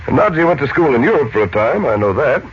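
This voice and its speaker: An American actor playing a calm, confident middle-aged mystic hero and kindly uncle in a 1940s radio serial, speaking in a measured, reassuring way. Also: male voice, mid-range voice, lightly acted. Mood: pensive, explaining. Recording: muffled, noisy late-1940s radio recording.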